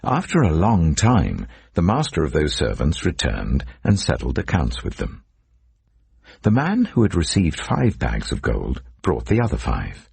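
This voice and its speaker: Very deep, raspy male Bible narrator. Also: wildly swooping pitch, measured pace, a little mellow, no echo. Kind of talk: dramatic scripted scripture narration.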